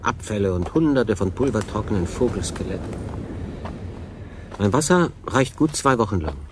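An actor playing a weary, sardonic stranded solo sailor, brooding in a radio drama monologue with a dry manner. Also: male voice, fairly low pitch, creaky, background noise. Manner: brooding, dry, talking to himself.

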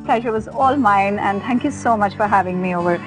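A woman's voice: mid-range voice, brisk, rough recording. A woman in her thirties with an Indian accent, presenting a cookery show casually and effusively, switching between English and Hindi. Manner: effusive, gushing. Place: open-air veranda beside a garden, at a dining table. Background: music.

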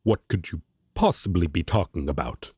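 A man's voice: sits low, animated, moderate pace, a little mellow, slightly rough, no echo, clean studio sound, full character voice. A man playing a righteous, protective angel, speaking in an earnest, stern, dramatic manner in an audio roleplay. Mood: indignant, disbelieving.

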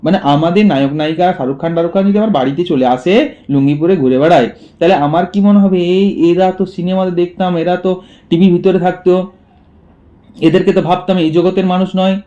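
Male teacher, slightly high voice, explains a story line by line with rhetorical questions. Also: steady tone, brisk, dark tone, lo-fi sound. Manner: asks rhetorical questions and answers them; repeats and paraphrases lines while explaining. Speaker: school literature teacher. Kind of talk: explanatory story lesson for students.

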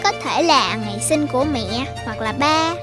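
A high eager cartoon boy. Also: lively intonation, moderate pace, loud music behind the voice. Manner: eager, talkative. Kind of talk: cartoon voice acting.

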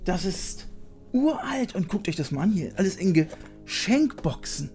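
A man's voice: high-pitched, big pitch swings, moderate pace, a little mellow, soft background music. A man hosting a retro video show in a room full of collectibles, joking about himself and reading his facts in a casual way. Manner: jokey, self-mocking. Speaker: host. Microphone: studio mic on arm.

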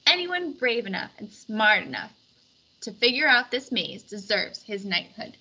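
A woman's voice: sing-song, measured pace, pushed and bright, husky, full character voice, rough recording. A woman voicing a jovial, grand king with a proclaiming manner in a storybook read-aloud. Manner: booming, proclaiming.

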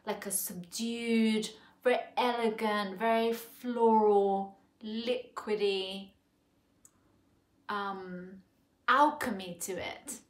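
Woman in her 40s from Thailand, home review vlogger; unhurried, thinking aloud, filler-heavy, roomy living-room sound. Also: mid-range voice, fairly even intonation. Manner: slow, musing, many fillers and restarts. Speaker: perfume reviewer. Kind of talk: casual spoken review. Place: living room sofa, indoors. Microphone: camera in front at close range. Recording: echoey room.

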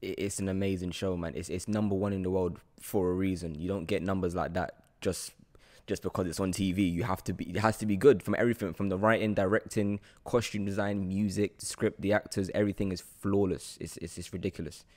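Young man with a lowish voice. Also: steady tone, brisk, a little mellow. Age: 20s. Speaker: podcast host, interviewer. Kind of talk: casual interview chat. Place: indoor studio room at night. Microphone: podcast microphone on boom arm, close.

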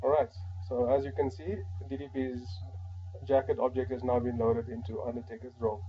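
A man with a slightly hoarse voice from a flu, calmly walking through a modding software tutorial step by step, with frequent "alright" and "uh". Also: mid-range voice, normal speed, dull audio. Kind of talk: screen-recorded software tutorial.

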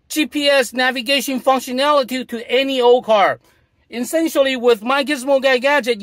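A man's voice: extremely high pitch, fairly bright tone, dry recording. A middle-aged man demonstrating a gadget from inside a parked car, explaining in a repetitive, salesy way. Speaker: product demonstrator. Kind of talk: product demo.

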